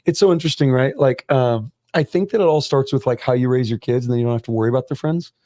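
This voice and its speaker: A man in his thirties hosting an easygoing conversation, asking sincere questions and dropping in casual fillers like "man" and "like". His voice is dark and mellow. The sound is close and dry. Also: mid-range voice, quick pace, dry recording. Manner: relaxed, earnest questions with frequent "man" and "like". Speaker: host.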